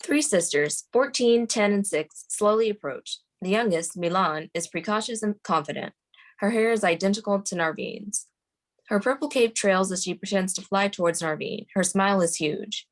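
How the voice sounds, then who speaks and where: A woman's voice: mid-range voice, moderate pace. Woman reading screenplay directions. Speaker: stage directions reader at a screenplay table read. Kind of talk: scripted narration.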